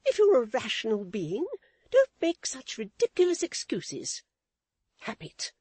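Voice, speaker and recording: A woman's voice: huge pitch swings, measured pace, darkish tone, slightly rough, dry recording, exaggerated voice. A woman voicing a middle-aged wife who scolds her husband with withering, self-righteous indignation. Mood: scornful. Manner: high-pitched, withering, sharply emphatic.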